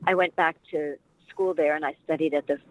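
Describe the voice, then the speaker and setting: A woman's voice: low voice, steady tone, fairly quick, no echo, narrow, tinny audio. A middle-aged woman from Washington, D.C., an actress and dialect coach, talking casually as an interview guest in a rambling, reflective way with many fillers.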